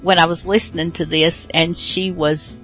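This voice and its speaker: A woman's voice: fairly low pitch, level tone, moderate pace, a bit bright, faint music behind, rough recording. A woman hosting a podcast, giving a casual, rambling introduction in an informal way. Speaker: podcast host.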